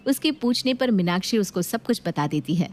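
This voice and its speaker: Woman, narrator, cartoon story, steady, plain delivery. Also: medium pitch, moderate pace.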